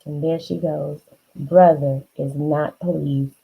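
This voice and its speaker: Lady doing storytime, slow n dramatic with little asides, bit gravelly, slightly muffled recording. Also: low voice, steady tone, a little hiss or hum. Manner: unhurried, dramatic emphasis, chatty asides. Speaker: children's storybook reader. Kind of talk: expressive read-aloud with asides to the listener.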